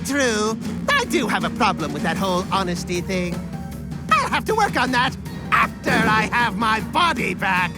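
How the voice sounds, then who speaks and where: Cartoon ghost villain, sneering, bellowing, gloating, hugely varied pitch, prominent music behind. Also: male voice, big pitch swings, normal speed. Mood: sardonic. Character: scheming, gloating male ghost tyrant without a body. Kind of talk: animated game villain acting.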